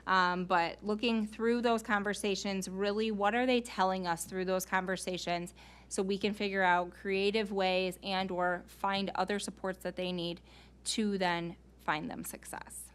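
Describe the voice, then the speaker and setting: A woman's voice: mid-range voice, steady tone, unhurried, clean tone. A woman speaking as a school staff member in an informal, explanatory meeting presentation, earnest and given to rhetorical questions.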